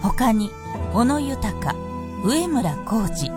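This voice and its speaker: A woman's voice: fairly high pitch, big pitch swings, very slow. A woman giving scripted narration as the narrator of a Japanese radio drama. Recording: loud music under the voice.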